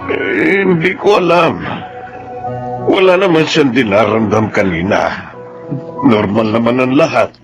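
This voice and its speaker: A bewildered, distraught Filipino father in an audio drama. His voice sits at a middle pitch. Noticeable music plays behind him. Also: expressive, a little slow, long pauses. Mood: worried, bewildered.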